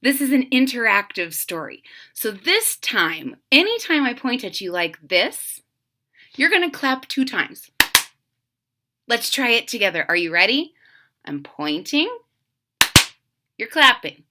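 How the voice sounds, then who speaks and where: Female storytime reader for kids: slowish, very animated, interactive delivery with asides. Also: a little high. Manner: addresses young listeners directly with questions and asides. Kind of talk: interactive children's read-aloud.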